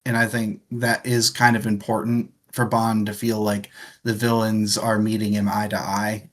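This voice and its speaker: A man's voice: lowish voice, little pitch movement, normal speed. A man co-hosting a book club podcast, talking casually and conversationally as he sums up his thoughts.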